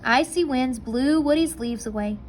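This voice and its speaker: High-voiced woman reading a children's book. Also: normal speed, slight background noise.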